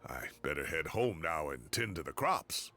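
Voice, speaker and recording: An actor playing a genial old professor in a video game, courteous, avuncular and playfully proud. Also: male voice, rumbling low, big pitch swings, normal speed, gravelly, pushed and bright, exaggerated voice. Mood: warm, grateful. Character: genial old male professor who gardens. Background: music.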